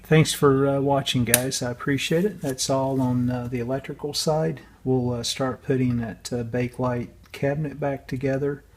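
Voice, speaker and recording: A man's voice: mid-range voice, steady tone, moderate pace, hardly any pauses, noisy background. A man giving a casual, unscripted workbench walkthrough in a hesitant, matter-of-fact way. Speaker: radio restorer and video host.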